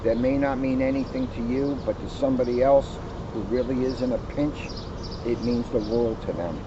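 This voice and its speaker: A man's voice: medium pitch, steady tone, normal speed, lo-fi sound, background noise. A man reading a children's story aloud and chatting plainly and encouragingly to young viewers. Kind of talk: storybook read-aloud with casual chat.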